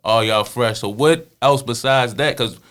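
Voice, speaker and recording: A man in a casual interview who asks pointed questions in a deliberate way. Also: medium pitch, a bit bright.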